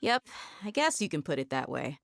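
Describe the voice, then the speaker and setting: A woman's voice: sits low, sing-song, moderate pace, gravelly, a little hiss or hum, full character voice. An actress playing an easygoing, worldly mercenary woman in a video game, casual and wry. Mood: relaxed.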